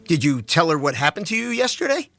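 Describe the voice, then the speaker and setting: A man's voice: on the high side, big pitch swings, fast, a bit bright, dry recording, heavily acted. A man playing a curious, joking married teacher in a dramatic reading, with an inquisitive, wry manner.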